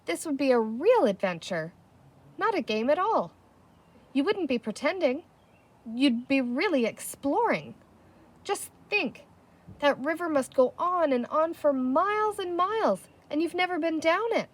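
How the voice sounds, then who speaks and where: A woman's voice: high voice, big pitch swings, unhurried, voice pushed up. A woman voicing a sensible young girl in a storybook, eager and coaxing, firm but kind. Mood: eager, persuasive.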